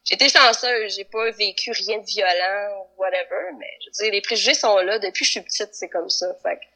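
A woman's voice: mid-range voice, brisk, sharp tone, poor audio. A woman with a Québécois accent talking casually on a video call, loose and hesitant with many fillers. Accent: Québécois French. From Quebec. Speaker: band member, musician guest.

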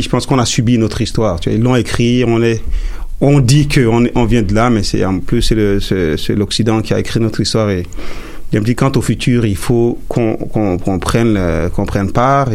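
Brief: man from Chad, Sara native speaker, guest musician, low gravelly voice, halting restarts. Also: a little mellow. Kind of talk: casual interview answers.

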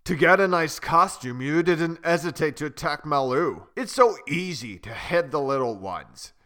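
A man voicing a stern old elephant who scolds in a measured way. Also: on the high side, animated, sharp tone, voice pushed up. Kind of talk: storybook reading.

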